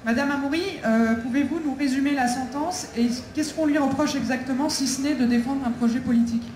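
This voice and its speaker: High-voiced woman moderating through an echoey PA. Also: a little fast, a little mellow. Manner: formal, questioning. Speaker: debate moderator. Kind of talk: moderating a public debate.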